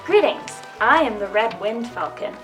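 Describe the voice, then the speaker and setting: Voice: medium pitch, sing-song, normal speed, fairly bright tone, faint music behind. A female performer playing a courteous fantasy flying creature in a children's reader's theater play, recorded at a distance.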